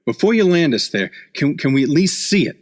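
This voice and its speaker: Hard-boiled male reporter, acted by a man, expressive pitch, blunt, incredulous, radio drama. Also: brisk. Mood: alarmed. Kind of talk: radio play acting.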